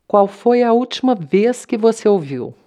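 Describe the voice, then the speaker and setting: A woman acting in a Brazilian radio drama as a composed, probing radio host, measured, with a Brazilian accent. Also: mid-range voice, animated, mellow, lightly acted. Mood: cool, probing.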